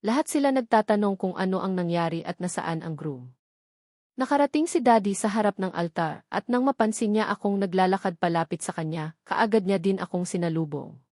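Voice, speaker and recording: A woman's voice: medium pitch, fairly quick, a little mellow, dry recording, studio quality. A woman with a Filipino accent reading a dramatic first-person novel as an audiobook narrator.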